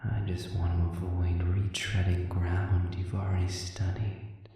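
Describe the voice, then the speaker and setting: Suave male demon, acted by a man, audio roleplay, dark low voice, measured, silky, manipulative, little pitch movement, distant in an echoey space. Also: level tone. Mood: coolly polite, probing. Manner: slow, measured, silky and condescending. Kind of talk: scripted audio roleplay monologue. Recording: very echoey reverberant room, distant.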